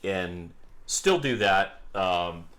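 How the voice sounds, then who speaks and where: A man co-hosts a casual podcast, trading holiday memories. His voice sits very low, and he takes his time, dropping dry jokes between frequent pauses and "um"s. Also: lively intonation, fairly bright tone. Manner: slow, dry joking with many "um"s. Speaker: podcast co-host. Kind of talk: casual chat.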